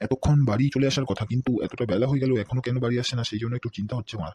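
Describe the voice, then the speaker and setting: A man's voice: mid-range voice, rapid-fire, close to an everyday voice. A man voicing a middle-aged politician in a comedy cartoon, a crude, domineering father with a West Bengal accent. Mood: worried. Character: blustering middle-aged male politician, doting but bullying father. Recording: music behind the voice.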